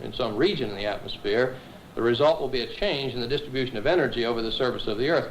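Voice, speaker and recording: A man speaks as an academic scientist being interviewed. His mid-range voice is measured and explanatory, pausing to correct himself. The recording is a 1970s film interview with faint noise. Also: mid-range voice, poor audio. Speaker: atmospheric scientist, professor.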